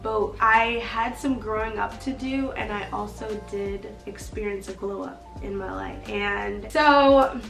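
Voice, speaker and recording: A woman's voice: mid-range voice, unhurried, vocal fry, a bit bright, far from the mic. A young woman chatting casually to camera in a vlog, a little nasal from allergies and acting out her own past reactions. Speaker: beauty vlogger. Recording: roomy echo, slightly off-mic, faint music.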